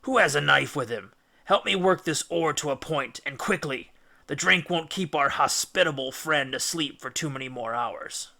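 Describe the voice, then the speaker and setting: A man's voice: fairly high pitch, lively intonation, moderate pace, creaky, pushed and bright, exaggerated voice. A man playing a cunning, commanding Greek hero and captain in an audiobook, with firm, declamatory delivery. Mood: resolute. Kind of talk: audiobook character acting.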